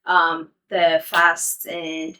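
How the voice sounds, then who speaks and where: A woman's voice: low-pitched, extremely slow. Young woman chatting easily, many ums. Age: young adult. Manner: relaxed, many ums and soft laughs. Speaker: interviewee, English teacher. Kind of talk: casual interview and home tour. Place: apartment building, walking around.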